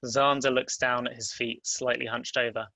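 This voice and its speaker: A man narrates a script's stage directions in a bright, forward voice. His pitch is average and somewhat even, and the sound is dry, with little room around it. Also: moderate pace, creaky, dry recording. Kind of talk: scripted narration at a table read.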